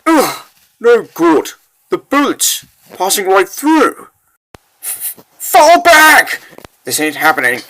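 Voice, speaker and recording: A man voicing a terrified but defiant soldier in a comic dub, stammering and shrill. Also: huge pitch swings, slow, pauses often, bright, forceful tone, slight background noise, exaggerated voice, low-quality recording. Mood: panicked. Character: panicked male soldier, terrified yet defiant.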